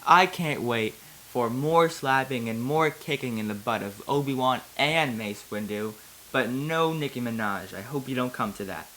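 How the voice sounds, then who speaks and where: A woman's voice: extremely low pitch, normal speed, a bit bright, a little hiss or hum, low-quality recording. Theatrical woman reading fan fiction. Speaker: video host. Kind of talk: comic dramatic reading with casual commentary.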